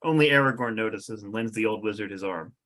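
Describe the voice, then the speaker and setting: A man's voice: lowish voice, fast, fairly bright tone. A man reading stage directions aloud as narrator at a script table read.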